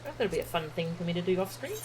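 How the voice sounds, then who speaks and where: A woman's voice: fairly low pitch, lively intonation, rapid-fire, noisy background. A woman chatting casually while streaming a video game, in a playful, easygoing manner. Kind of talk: casual livestream gameplay chat, reading game text aloud. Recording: game music behind the voice.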